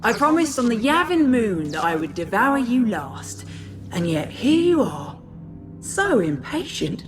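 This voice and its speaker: A woman's voice: on the high side, sing-song, measured pace, over music. An actress playing a woman possessed by an evil emperor in a video game, theatrical and taunting. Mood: mocking menace. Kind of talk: video game villain acting.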